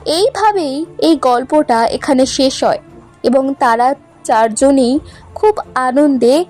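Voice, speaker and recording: A woman's voice: high-pitched, takes it slowly, a little mellow. A woman narrating a scripted children's story as a storyteller, with music behind her. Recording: music bed under the voice. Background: background music.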